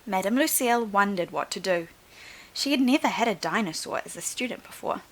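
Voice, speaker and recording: A woman teacher reading a storybook aloud to young children in an expressive, instructive way. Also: medium pitch, fairly quick, poor audio. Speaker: primary school teacher.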